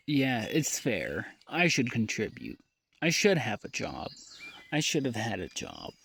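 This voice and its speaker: Young man, weary and halting, unhurried audio-diary delivery, mid-pitched voice. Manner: weary, halting, trailing off with sighs. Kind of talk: personal audio diary monologue.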